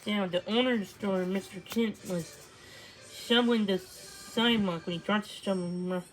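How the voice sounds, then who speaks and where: A woman reads a children's storybook aloud, halting now and then and restarting words. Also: medium pitch, faint music behind, low-quality recording. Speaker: storybook reader. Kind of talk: read-along storytelling of a children's book.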